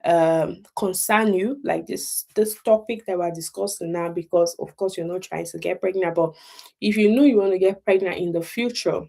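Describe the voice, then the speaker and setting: A woman's voice: on the low side, normal speed, gravelly, mellow. A woman with a Nigerian accent hosting a casual live explainer, talking emphatically, repeating key points and checking in with her listeners. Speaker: health talk host.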